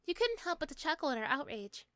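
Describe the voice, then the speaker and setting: High-voiced woman narrator, very dry sound. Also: very animated, fast, fairly bright tone, no room sound. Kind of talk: scripted second-person story narration.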